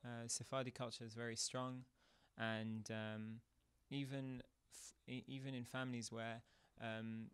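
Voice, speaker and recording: A man giving hesitant interview answers, halting and restarting his words. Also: mid-range voice, flat delivery, a bit bright, clean tone.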